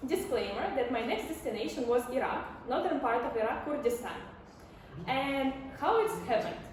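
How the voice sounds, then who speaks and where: Female storyteller, 20s, Ukrainian-accented, high-pitched, addressing a live audience, recorded from a distance with slight noise. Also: expressive, normal speed. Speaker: amateur storyteller on stage. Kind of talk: personal storytelling talk to an audience. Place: indoor stage before a community audience. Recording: echoey, distant, faintly noisy live room.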